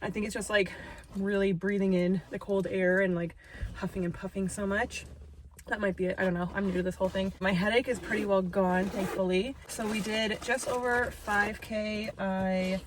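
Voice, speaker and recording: A young woman with a nasal, stuffed-up voice chats casually into a handheld phone, drifting from her car to a walking trail outdoors. Her pitch sits in a middle range, and she slips into a sweeter, higher tone whenever she speaks to her dog. A faint hiss runs under the recording. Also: fairly even intonation, moderate pace. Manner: chatty, self-deprecating, talks in a high sweet voice to her dog. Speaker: vlogger. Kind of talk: casual vlog chat.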